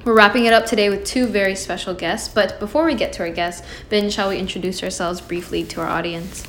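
A woman's voice: mid-range voice, steady tone, fairly quick, fairly bright tone. Female podcast co-host from Seattle; upbeat, moderating tone, faint noise behind. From Seattle, United States. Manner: upbeat, laughs easily, moderates. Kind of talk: casual podcast hosting.

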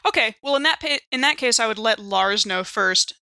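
A woman with a bright, forceful voice plays a tabletop role-playing game. She talks casually, moving into in-character lines, and is recorded dry and close. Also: a little high, lively intonation, moderate pace, no echo.

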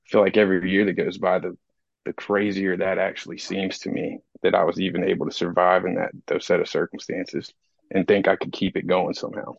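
A man, hoarse and under the weather, speaks in a low, gravelly, monotone voice. He tells his story in a reflective, rambling way with plenty of fillers. The sound is dull and dry. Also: fairly quick, no room sound. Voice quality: raspy, gravelly. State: hoarse from a cold. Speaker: podcast co-host. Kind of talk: casual recovery podcast talk.

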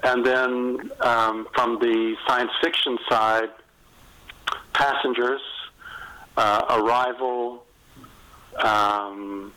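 A man's voice: mid-range voice, fairly even intonation, drawn-out words, long pauses, pushed and bright, clean tone, poor audio. A man speaking as an author guest in a conversational podcast interview, telling stories candidly.

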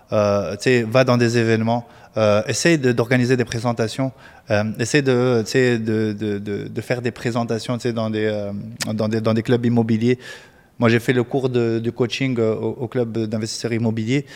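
A man's voice: mid-range voice, darkish tone. A man from Tunisia, a guest mortgage broker, giving chatty advice full of fillers and stray English words. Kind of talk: casual advice talk. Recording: reverberant room.

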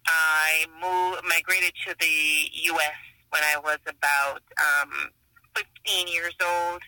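A woman's voice: low voice, little pitch movement, slow, bright, forceful tone, no echo, rough recording. A woman with a Jamaican accent, a guest author in a podcast interview, speaking in an earnest, reflective way and often restarting her phrases.

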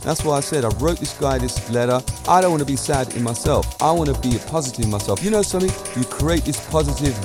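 A man's voice: mid-range voice, animated, fast talker, few pauses, music in the background. A man hosting a podcast, giving a self-assured motivational monologue full of emphatic points and rhetorical questions. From Britain. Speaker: podcast host.

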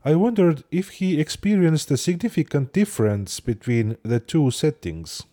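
A man who hosts and narrates, asking questions in a measured, gentle way. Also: fairly high pitch, lively intonation. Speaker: podcast host and interviewer.

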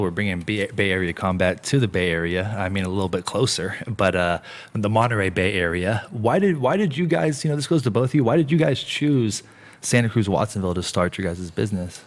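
A man hosting a casual interview, upbeat and asking his guests questions. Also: fairly low pitch. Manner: upbeat, asks questions, laughs along. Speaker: interview host.

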